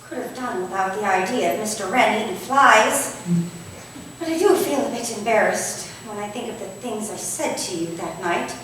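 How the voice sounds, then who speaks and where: A woman's voice: medium pitch, animated, moderate pace, a bit bright, lightly acted. A woman playing a candid, modest Victorian vicar's wife in a staged play reading, wistful, hesitant and confiding. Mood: confessional, troubled. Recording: echoey hall from far off, faint noise.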